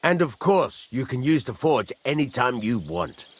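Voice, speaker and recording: An actor playing a gruff middle-aged village blacksmith in a video game, husky, blunt and rustic, giving plain instruction. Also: male voice, medium pitch, expressive, a little slow. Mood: matter-of-fact. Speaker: master blacksmith. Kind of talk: video game character acting.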